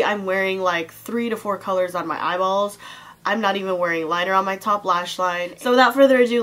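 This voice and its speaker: A woman's voice: medium pitch, a bit bright. A young woman, stuffy-nosed from a cold, talking through a casual makeup tutorial in a chatty, slangy way with plenty of fillers and asides. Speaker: beauty vlogger and makeup artist.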